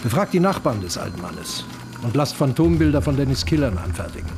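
An actor playing an impatient police squad boss handing out orders forcefully in a radio crime drama. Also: male voice, mid-range voice, sing-song, brisk, over music. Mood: commanding.

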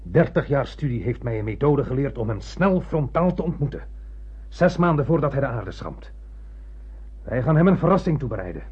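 Obsessed old space captain, really husky voice, defiant and preachy, dull lo-fi radio play sound. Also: male voice, medium pitch, sing-song, moderate pace. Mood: defiant, intense. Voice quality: husky, raspy. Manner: brooding, declamatory, poetic. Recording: muffled lo-fi radio play recording.

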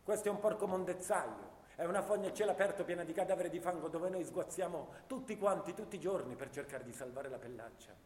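Man playing disillusioned young First World War soldier, theatrical monologue, declamatory, high-pitched, strongly echoing sound. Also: quick pace, hardly any pauses, darkish tone, natural voice. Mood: bitter, disgusted. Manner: impassioned, rhythmic, declamatory, piling up lists. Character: disillusioned eighteen-year-old Italian volunteer soldier of the First World War. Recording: reverberant radio-theatre sound with guitar music.

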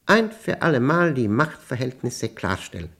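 An actor playing an obsessive, paranoid male professor in a German radio play, with a gravelly voice and emphatic, halting delivery. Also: medium pitch, very animated, fairly bright tone, heavily acted. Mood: obsessive, domineering. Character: obsessive, paranoid male professor battling his machine's will.

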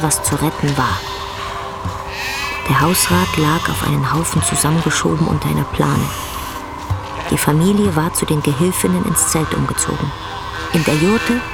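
A woman performing as the grave, intense narrator of a bleak literary radio drama, telling it in a measured way. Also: low-pitched, vocal fry. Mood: urgent. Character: female storyteller of a bleak rural tale, grave and intense. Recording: music under the voice.

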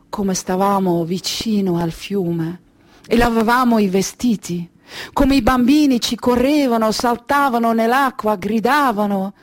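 Slow, haunted refugee woman, played by an actress. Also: medium pitch. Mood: weary remembering. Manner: deliberate, emotive, pausing between short phrases. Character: Kurdish refugee woman, weary, haunted, recounting her flight. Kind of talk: slow dramatic theatrical monologue.

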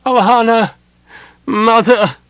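Young male elf prince, voiced by a male narrator: anguished and imperious, raised pitch, big swings, dry studio sound. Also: sing-song, normal speed, no echo. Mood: anguished, despairing. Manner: impassioned, urgent, commanding.

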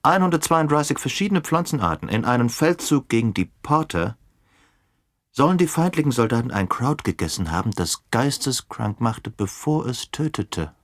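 An actor playing a composed, erudite interrogator in a German radio drama, deliberate and insinuating. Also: male voice, medium pitch, big pitch swings, no room sound, studio quality. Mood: calm, pointed.

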